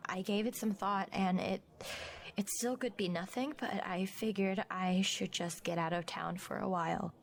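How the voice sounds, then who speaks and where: A woman's voice: mid-range voice, steady tone, normal speed, gravelly, close to an everyday voice. An actress playing a composed, stubborn young woman journalist in naturalistic audio drama dialogue. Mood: composed, guarded.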